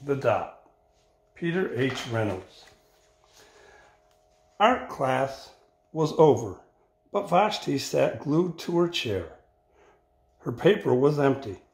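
Older man reading slowly in an echoey room. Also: mid-range voice, very animated, a little mellow. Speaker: storybook reader. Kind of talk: reading a children's picture book aloud. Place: indoor room with bare walls. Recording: roomy, echoing home recording.

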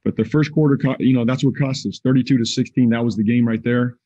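A man in his forties, a basketball head coach, answering questions at a postgame press conference over a video call in a measured, plain-spoken way with frequent fillers. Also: mid-range voice, steady tone, dark tone, highs cut off. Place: indoors in front of a team backdrop.

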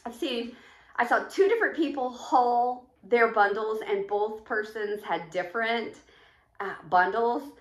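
A woman's voice: on the high side, measured pace. Chatty woman in an echoey room. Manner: chatty, rambling, counts items aloud. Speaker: vlogger showing a shopping haul. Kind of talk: casual chat to camera. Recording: echoey home room, faint noise.

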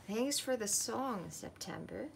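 A woman voicing a blunt, hearty gentleman pear from a tree in a storybook. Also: mid-range voice, steady tone, unhurried, creaky, a little hiss or hum, voice pushed down. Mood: brisk, hearty.

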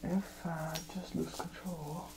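A man playing a creepy, unhinged evil jester in an ASMR horror roleplay, muttering and rambling haltingly, often dropping to a whisper. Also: on the high side, little pitch movement, creaky, background noise.

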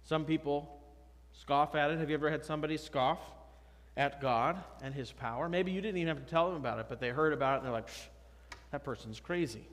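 A man's voice: on the high side, fairly quick. A man in his thirties, a pastor preaching a conversational, emphatic sermon from a pulpit. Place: church sanctuary, at a pulpit. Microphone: pulpit microphone, far camera. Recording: long church reverb.

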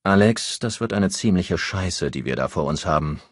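A harried male police inspector as a man reads him in an audiobook. He is curt and demanding, with a low, husky voice and impatient energy. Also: lively intonation, normal speed, no echo, studio quality. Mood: grim, urgent.

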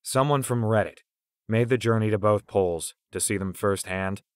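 A man's voice: lowish voice, brisk, no echo, close to an everyday voice. A man voicing a dry, skeptical young man. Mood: mocking. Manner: dry, mocking. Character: skeptical, mocking teenage young man, the level-headed friend. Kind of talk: audiobook character acting.

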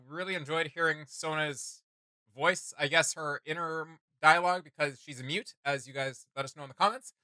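A man's voice: fairly high pitch, pushed and bright, dry recording. A young man hosting a reaction video with casual, conversational commentary. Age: young adult. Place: indoor home studio room.

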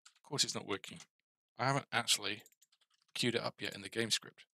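A middle-aged man with a low voice and a gravelly creak talks casually as he works at a computer. His delivery is bright and musing, like someone thinking aloud. Keyboard clicks sit behind him. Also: brisk, dry recording. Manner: musing, asks himself questions. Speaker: game developer presenting a coding series. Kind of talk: thinking aloud while coding, casual tutorial.

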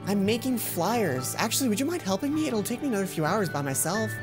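A woman's voice: mid-range voice, sing-song, fast, lightly acted. A woman voicing an earnest, bookish teenage schoolgirl in a visual novel, polite and mild. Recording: background game music.

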